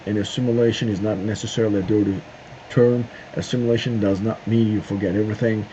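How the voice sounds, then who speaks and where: A middle-aged man speaks in a low, soft-edged voice, addressing his viewers in a halting, searching way full of "um" and "uh". The recording is dull and lo-fi. A faint hiss sits underneath. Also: steady tone. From Turkey. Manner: halting, searching for words with frequent "uh" and "um" pauses. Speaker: video host. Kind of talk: casual opinion monologue to camera. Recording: dull lo-fi sound with faint noise.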